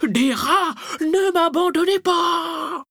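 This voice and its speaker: A man's voice: very high-pitched, takes it slowly, sharp tone, no room sound, studio quality, voice pushed up, heavily acted. A man voicing a cowardly, whiny schoolboy who whimpers, stammers and grumbles in an audiobook adventure story. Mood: panicked. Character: Pépé Cuvert, cowardly whiny schoolboy, easily terrified.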